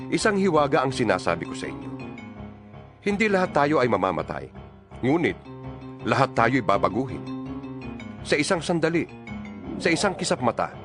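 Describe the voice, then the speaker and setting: A man's voice: medium pitch, lightly acted. Man playing a male apostle, Filipino accent, earnest oratory, widely swinging intonation, audio Bible drama, music underneath. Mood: solemn, emphatic. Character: male apostle exhorting fellow believers in a letter. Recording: music bed behind the voice.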